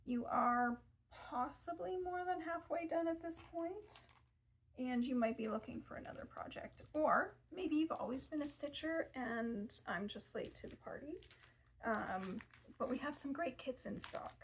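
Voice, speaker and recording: A woman's voice: high-pitched, unhurried, slight background noise, low-quality recording. A woman in her thirties presenting gift ideas in a fabric shop, chatty and self-deprecating with casual asides. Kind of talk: casual product showcase. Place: fabric shop in front of fabric shelves.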